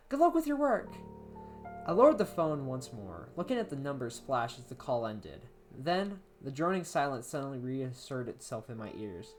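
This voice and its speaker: A woman with a low voice narrates a creepy first-person story. Her delivery is dramatic and very varied in pitch, with phrase endings falling away to a hush. Soft plucked guitar plays behind her. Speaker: amateur horror story reader. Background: plucked guitar music.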